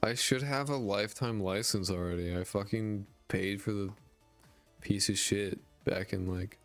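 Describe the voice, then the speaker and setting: A man streaming after twelve hours, his raspy voice worn out, who mumbles and rambles casually with lots of fillers and swearing. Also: lowish voice, unhurried, soft background music. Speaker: indie game dev streamer. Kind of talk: casual live-stream chat reading viewer messages. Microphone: desk mic with a high-pass filter.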